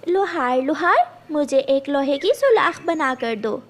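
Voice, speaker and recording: A clever mother bird from a cartoon, acted by a woman, determined and measured. Her voice is high and expressive, and she takes her words slowly. Slight noise is on the recording. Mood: resolute. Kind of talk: animated children's story dialogue.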